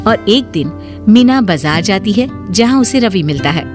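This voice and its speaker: A woman's voice: medium pitch, expressive, moderate pace. A woman reading scripted narration for a moral story. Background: plucked guitar music.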